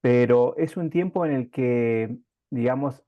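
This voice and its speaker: A man interviewing in a conversational way, speaking haltingly and reflectively in circling phrases. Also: mid-range voice, dull audio.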